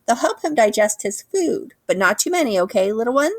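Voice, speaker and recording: A woman's voice: a little high, very animated, a little fast, no echo, voice pushed up. Woman doing a kindly amused goose.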